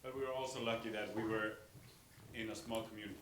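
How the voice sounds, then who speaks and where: A man's voice: lowish voice, fairly even intonation, fast, fairly bright tone. A man, a company founder, speaking hesitantly with many fillers at a conference Q&A. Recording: echoey hall mic with noise.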